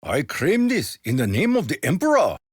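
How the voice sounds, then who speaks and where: An actor playing a proud, honor-bound Japanese imperial soldier in a video game, speaking with a Japanese accent in stiff, formal phrases that keep collapsing into dry jokes. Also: male voice, a little high, huge pitch swings, normal speed, breathy edge, dry recording, exaggerated voice. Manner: formal, stiff phrasing with sudden comic deflation.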